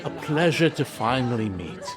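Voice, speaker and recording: An actor playing a genial, ceremonious middle-aged merchant hosting guests in an audio drama, with music underneath. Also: male voice, mid-range voice, very animated, moderate pace, a crowd in the background, natural voice. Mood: jovial, welcoming. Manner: hearty, ceremonious, chuckling. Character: jovial, genial wealthy merchant host, proud Monsieur Morel.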